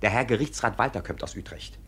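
Husky, officious court clerk, animated. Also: male voice, lowish voice, quick pace, exaggerated voice. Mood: grave, pointed. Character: sly, officious court clerk, dutiful and knowing. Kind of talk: classic German stage comedy as radio play.

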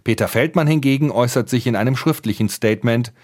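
A man narrating a political news report in a calm, matter-of-fact voice-over. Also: medium pitch, quick pace.

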